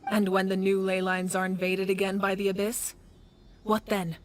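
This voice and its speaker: A woman's voice: mid-range voice, completely flat delivery, moderate pace, close to an everyday voice. A woman playing a resolute, dutiful leader of a fantasy nation in a video game, firm and earnest. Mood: firm, defiant. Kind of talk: scripted video game voice acting.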